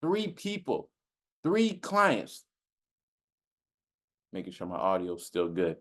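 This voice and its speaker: A man's voice: low-pitched, wildly swooping pitch, slow. A man speaking off the cuff like a self-improvement coach, casual and slangy, repeating phrases for emphasis and often checking in with the listener. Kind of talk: casual off-the-cuff motivational talk.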